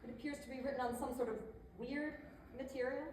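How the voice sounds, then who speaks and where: A woman's voice: high-pitched, steady tone, quick pace, darkish tone, close to an everyday voice. A woman playing a chatty, pushy but tactful image consultant in a stage comedy, conversational and persuasive with hesitant asides. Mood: tactful, musing. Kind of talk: theatrical stage comedy acting. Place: indoor theater stage. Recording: echoey theater, distant mic, faint noise.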